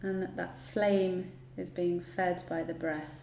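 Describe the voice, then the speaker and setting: A woman guiding a relaxation meditation in a soothing, unhurried manner, leaving long pauses between instructions. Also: on the low side, flat delivery, vocal fry, dark tone. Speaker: yoga nidra guide. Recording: roomy echo, light background noise.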